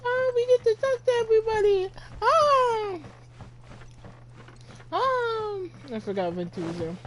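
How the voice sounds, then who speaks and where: A woman's voice: extremely high pitch, very animated, a little slow. A teenage girl doing casual, playful game commentary, rambling and repeating words for fun. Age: teens. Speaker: gamer playing and commenting. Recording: clear background noise behind voice.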